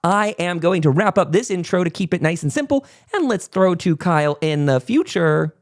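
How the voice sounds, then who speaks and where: A man's voice: sits high, expressive, normal speed. Chatty male podcast host. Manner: chatty, informal presenter talk. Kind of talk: casual podcast intro and interview.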